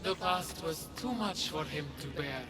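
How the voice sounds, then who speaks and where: An actor playing a grave, embittered man of ancient Greece in a video game, speaking solemnly and deliberately. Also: fairly high pitch, animated. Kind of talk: video game cutscene acting.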